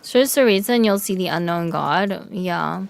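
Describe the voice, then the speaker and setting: A young woman streaming a game, chatting casually with her chat, swearing and yelling in excitement now and then. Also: fairly low pitch, normal speed, creaky, soft background music. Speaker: VTuber streamer. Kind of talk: casual gaming livestream chat.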